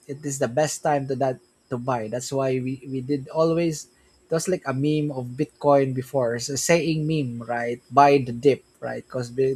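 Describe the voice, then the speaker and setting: A man's voice: medium pitch, breathy edge. A young man with a Filipino accent, a Tagalog speaker, hosting a casual crypto livestream, rambling with many restarts and tag questions. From Philippines. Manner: rambling, repeats "right?" and "yeah, man", frequent restarts. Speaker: crypto stream host.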